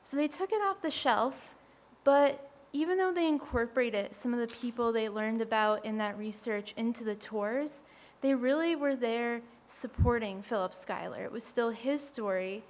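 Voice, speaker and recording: A woman with a quiet voice giving a friendly, conversational talk to a live audience as a speaker for a historic site. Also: fairly high pitch. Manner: soft-spoken, friendly and conversational.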